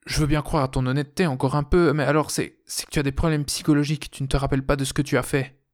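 A man's voice: medium pitch, moderate pace, close to an everyday voice. Man reading an earnest, pleading male astronaut. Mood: insistent. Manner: reasoning, pressing.

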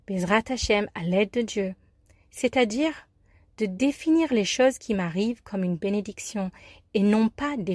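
A woman from the United States with an American accent, giving a scripted, expressive personal talk as a life coach and columnist. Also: mid-range voice, dry recording, clean studio sound. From United States, New York. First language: English.

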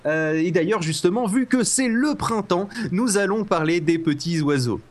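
A man hosting a casual comedy podcast, rambling and joking with many fillers, his voice a little rough from a slight cold. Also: high voice, moderate pace. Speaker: podcast host.

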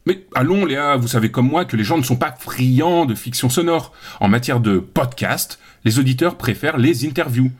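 An actor playing a patronising male sceptic in scripted audio drama, speaking in a measured, matter-of-fact way. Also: medium pitch, lively intonation, slightly rough. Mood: patronising, sceptical.

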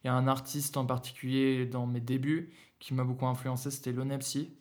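A young man from Germany, a guest musician in a casual podcast interview, talking in a relaxed, slangy way with many fillers and restarts. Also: mid-range voice, a little fast.

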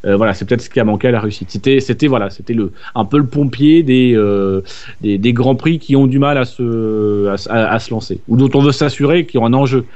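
A man talking through a casual motorsport chat at an average pitch. He hesitates and repeats words as he looks for them. His voice comes through dull and a little lo-fi. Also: a little fast, darkish tone. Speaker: podcast host.

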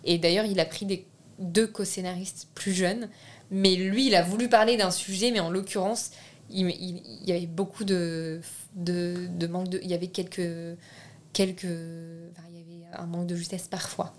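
A young woman from France, a filmmaker speaking as a guest in a relaxed interview. Her voice sits a little low. She hesitates often, fills pauses and restarts her sentences as she searches for words. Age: young adult. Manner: hesitant, many restarts and fillers. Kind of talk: casual interview.

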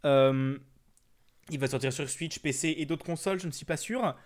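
A man's voice: on the high side, normal speed. A man co-hosting a casual video game podcast, joking along in crude slang. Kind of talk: casual gaming chat.

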